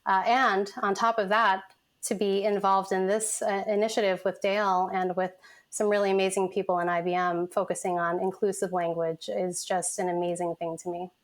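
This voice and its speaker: A woman's voice: medium pitch, little pitch movement, normal speed. A woman in her forties who grew up in San Francisco, guest on a podcast, telling her story in a reflective, chatty way with many "you know" fillers. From San Francisco, United States. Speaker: guest, content design manager. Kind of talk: casual podcast interview.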